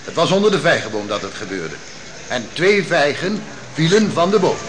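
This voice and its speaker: A man's voice: on the high side, big pitch swings, normal speed. A man playing an insistent elder giving testimony in a Dutch radio drama, emphatic and repeating himself. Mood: insistent, assured. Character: insistent male elder testifying as witness in court. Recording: noisy old radio drama recording.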